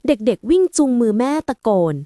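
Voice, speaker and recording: A woman reading a scripted story aloud as an expressive storyteller. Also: brisk, no room sound, clean studio sound.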